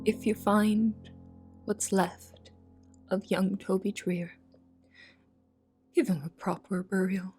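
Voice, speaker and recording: A woman's voice: medium pitch, steady tone, slow pace, pauses often, darkish tone, faint music behind, natural voice. A woman playing a young, anxious, secretive cook who narrates an audio drama in the first person. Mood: shaken, grieving.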